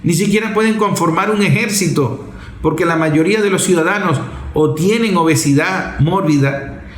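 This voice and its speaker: A man's voice: fairly high pitch, normal speed. A man delivers an impassioned political monologue as a commentator, blunt and emphatic, leaning on repetition and rhetorical questions. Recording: roomy, echoing sound.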